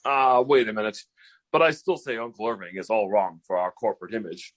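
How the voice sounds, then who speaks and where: Casting: man for hesitant comic client, fussy and doubtful, animated intonation, slightly noisy recording. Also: fairly low pitch, brisk, pitched below the performer's own voice, close to an everyday voice. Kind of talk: comic sketch dialogue.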